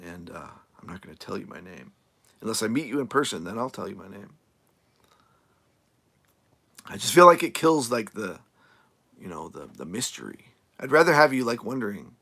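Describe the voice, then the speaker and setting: A man with a gravelly, slightly hoarse voice chats loosely on a live stream, drifting between thoughts with plenty of fillers. His talk is easygoing and unscripted, and he often restarts his sentences. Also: mid-range voice, fairly bright tone. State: voice getting a little hoarse. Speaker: nomad RV YouTuber answering live chat. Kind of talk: casual live-stream chat. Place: RV camp in the desert, outdoors.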